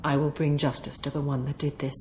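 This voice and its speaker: Woman as deep-voiced, solemn avenger Samara. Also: level tone, a little mellow, slight background noise, close to an everyday voice. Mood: solemn resolve. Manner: measured, grave, formal. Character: solemn, stern female alien avenger, mature and composed. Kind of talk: video game voice acting.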